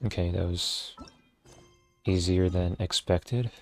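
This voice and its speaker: Low-pitched, unhurried man streaming a game. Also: fairly even intonation. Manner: low-key, thinking aloud. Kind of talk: casual solo gameplay commentary. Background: video game music.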